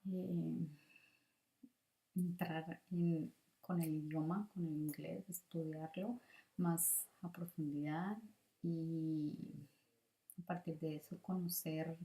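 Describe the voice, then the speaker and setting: Slow, low-voiced woman presenting. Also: flat delivery, warm tone. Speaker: student teacher giving a presentation. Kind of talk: prepared spoken presentation, partly improvised.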